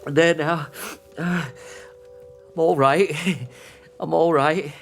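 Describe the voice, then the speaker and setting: A man's voice: on the high side, steady tone, very slow, gravelly, exaggerated voice. An actor playing a loving, grieving father who keeps a brave face, speaking tenderly and earnestly in a radio play. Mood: brave composure. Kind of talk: theatrical radio-play acting.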